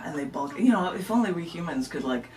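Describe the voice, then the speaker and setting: A woman's voice: fairly low pitch, expressive, quick pace, over a noisy crowd. A woman poet on a radio show, reading her poems and chatting casually about them as a guest. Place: radio studio, recorded on a camera. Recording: noisy, distant camera recording in radio studio.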